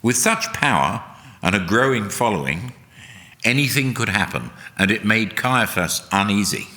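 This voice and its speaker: A man reading a solemn, dramatic narration in a deliberate, emphatic oratorical way. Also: on the low side, pushed and bright. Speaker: reader at a church service. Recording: long hall reverb.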